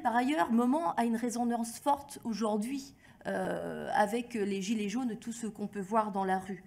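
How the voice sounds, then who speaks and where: A woman speaking as an expert witness at a hearing, in a formal, measured and articulate way as she builds an argument. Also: on the high side, creaky, darkish tone. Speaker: academic expert giving testimony at a hearing. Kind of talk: formal prepared address with spontaneous phrasing.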